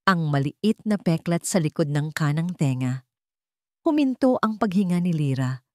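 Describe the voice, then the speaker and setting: A woman's voice: deep voice, lively intonation, moderate pace, a little mellow, dry recording, studio quality. A woman reading scripted narration for a dramatic story, as its storyteller.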